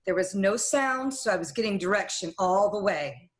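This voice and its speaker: A woman's voice: mid-range voice, expressive, moderate pace, natural voice. A woman playing a secretive studio employee in a 1940s radio noir, narrating in a confessional way. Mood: tense, confiding. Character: scheming young female studio employee, secretly complicit in murder.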